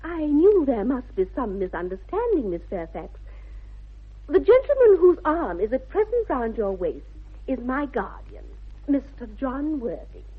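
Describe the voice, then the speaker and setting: An actress playing a spirited, prim young upper-class English lady in a 1960s radio comedy, crisply and pointedly polite. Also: sits high, big pitch swings, a little slow, exaggerated voice. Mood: prim, formal. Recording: thin, phone-like old radio recording.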